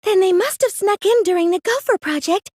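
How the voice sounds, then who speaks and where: Very high-pitched anime teenage girl. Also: a little fast, a little mellow, no echo, clean studio sound. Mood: quiet, pondering. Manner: hesitant and stammering when pressed, earnest, girlish. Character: meek, plain teenage girl cosplayer, secretly a gleeful mastermind. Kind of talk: anime video game dub acting.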